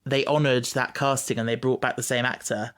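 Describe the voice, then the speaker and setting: A man's voice: medium pitch, little pitch movement, brisk, fairly bright tone, clear, resonant tone. A man hosting a casual fan podcast, talking enthusiastically and tentatively, often checking in with little tag questions. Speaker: podcast host.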